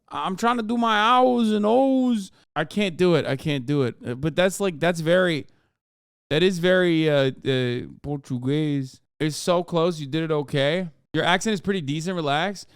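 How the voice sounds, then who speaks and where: Male streamer host, slightly high and smooth voice, dry close sound, profane and rambling. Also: normal speed, no echo. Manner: profane, rambling, restarts mid-sentence. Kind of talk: casual live-stream reaction.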